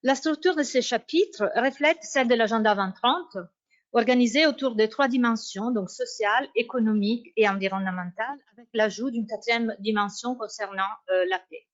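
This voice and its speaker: Woman, academic lecture, fairly high voice, muffled lo-fi recording. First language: Italian. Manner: formal, measured, often pausing to search for words. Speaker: university researcher. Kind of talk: academic conference talk. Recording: dull lo-fi sound.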